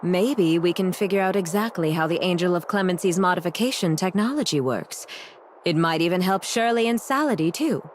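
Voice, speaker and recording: A woman's voice: lowish voice, fairly quick, a little hiss or hum, natural voice. A woman voicing a sardonic, commanding doctor in an anime game, with a dry, weary, curt way of talking. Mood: firm.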